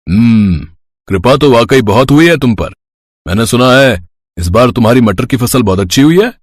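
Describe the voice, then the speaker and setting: A man's voice: mid-range voice, lively intonation, normal speed, no echo, clean studio sound, close to an everyday voice. Greedy, bullying village politician acted by a man. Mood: oily, pushy. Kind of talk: moral-story cartoon villain dialogue.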